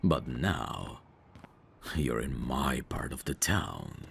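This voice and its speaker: A man playing a dangerous, flirtatious mafia boss in an ASMR roleplay, smugly protective, with a teasing, self-assured, unhurried delivery. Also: a bass voice, animated, gravelly, slight background noise, full character voice. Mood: teasing, probing. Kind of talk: ASMR roleplay audio drama.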